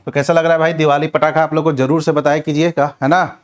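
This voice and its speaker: A man's voice: on the high side, level tone, fast talker. A man teaching an exam revision class in question-and-answer form, addressing students directly with repeated check-in tags. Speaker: history teacher for competitive exams.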